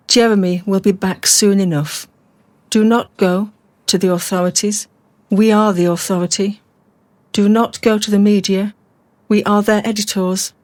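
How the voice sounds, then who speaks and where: A composed, rational woman held captive, played by an actress in a radio drama. Her delivery is measured and precise, with a cool, detached logic. The voice sits at a middle pitch in a very dry recording. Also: darkish tone, no room sound, natural voice. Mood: matter-of-fact. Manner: measured, matter-of-fact, precise.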